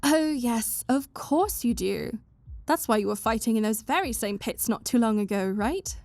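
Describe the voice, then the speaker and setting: A woman's voice: on the high side, animated, moderate pace, a little mellow, dry recording. A woman voicing a stern, bossy but caring young woman who scolds someone she cares about. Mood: exasperated. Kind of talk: fan audio roleplay.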